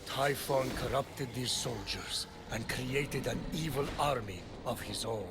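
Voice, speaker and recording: Game narrator: mid-pitched, wise and measured myth teller, dryly reproachful, score behind. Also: male voice, creaky, over music. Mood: solemn. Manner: measured, solemn, dry. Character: wise, patient, dryly reproachful male storyteller of myths. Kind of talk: scripted video game narration.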